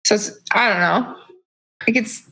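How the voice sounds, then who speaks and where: A woman co-hosting a casual gaming podcast, speaking in a relaxed, offhand way. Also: mid-range voice, a little slow, poor audio. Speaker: podcast co-host.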